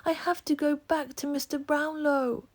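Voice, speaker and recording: A woman's voice: fairly even intonation, moderate pace, lightly acted. A woman doing a frightened young orphan boy. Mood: pleading. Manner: high, pleading.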